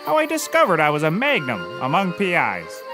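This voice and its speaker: An actor playing an eccentric, deluded, self-pitying private investigator in a rambling, dramatic comedy monologue. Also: male voice, a little high, huge pitch swings, moderate pace, loud music behind the voice. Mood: self-important.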